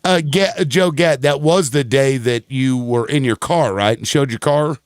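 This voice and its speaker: A man hosting an online panel chat, talking in halting, agreeable bursts with many restarts. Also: medium pitch, no echo, studio quality. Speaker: panel host.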